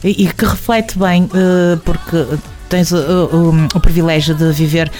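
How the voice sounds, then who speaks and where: A woman's voice: on the low side, steady tone, a little slow, a little mellow, soft background music. A woman with a European Portuguese accent hosting a conversational interview, effusive and affectionate with compliments for her guest. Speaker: interviewer, show host.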